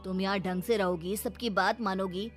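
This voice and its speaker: A woman's voice: medium pitch, fairly even intonation, normal speed, soft background music, natural voice. A woman voicing an exasperated young husband in a cartoon story, placating and insistent. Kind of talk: Hindi cartoon story dialogue.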